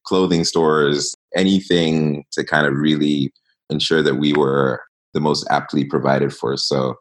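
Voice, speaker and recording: A man in his thirties who grew up in East Africa, a guest telling stories in a relaxed interview with many fillers. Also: extremely low pitch, measured pace. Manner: reflective storytelling, many fillers. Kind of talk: casual interview talk.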